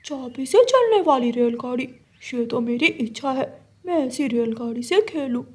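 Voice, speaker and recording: A woman's voice: sits high, animated, moderate pace. A woman voicing a mischievous, greedy young male cartoon crow with a wheedling, childlike manner. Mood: eager. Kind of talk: cartoon story dialogue.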